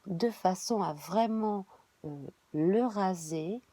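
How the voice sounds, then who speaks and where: A woman, reading a monthly tarot spread while she has a cold, halting and filling pauses. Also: medium pitch, mellow, dry recording. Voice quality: hesitant, many fillers and restarts. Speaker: tarot reader.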